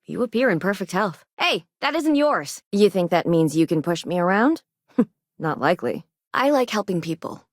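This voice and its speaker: An actress playing a principled, caring but firm frontier doctor in a science-fiction video game, speaking in a wry, matter-of-fact way. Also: female voice, fairly low pitch, big pitch swings, normal speed, dry recording, studio quality. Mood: apologetic, measured, then annoyed, firm. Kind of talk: naturalistic video game dialogue acting.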